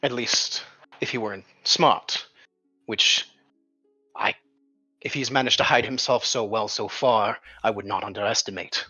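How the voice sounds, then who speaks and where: A man's voice: lowish voice, fairly even intonation, measured pace, long pauses, bright, forceful tone, slightly rough, lo-fi sound, faint music behind, full character voice. A man voicing a cautious, formal informant in a fantasy tabletop mystery, choosing his words hesitantly and wordily. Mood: guarded, earnest. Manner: hesitant, wordy, formal phrasing. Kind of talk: improvised tabletop roleplay.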